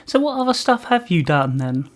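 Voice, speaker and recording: A man's voice: high-pitched, sing-song, moderate pace. Polite male radio interviewer.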